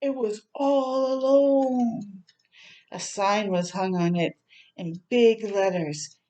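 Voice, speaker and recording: A woman reading a picture book aloud to young children in a gentle, expressive storytime manner. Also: mid-range voice, takes it slowly. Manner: gentle, expressive, prompting listeners with questions.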